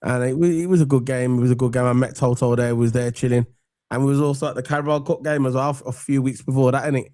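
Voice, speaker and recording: An English man with a croaky, cold-worn voice hosts a casual football chat, rambling on and giving shout-outs to viewers. His tone sits mellow and dark, and the sound is dry and close. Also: mid-range voice, steady tone, fast, dry recording. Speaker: football podcast host.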